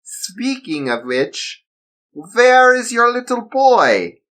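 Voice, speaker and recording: A man voicing a menacing villain boss with a put-on German accent, slow and mock-polite. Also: extremely high pitch, big pitch swings, bright, forceful tone, pitched above the performer's own voice, heavily acted. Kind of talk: amateur storytelling.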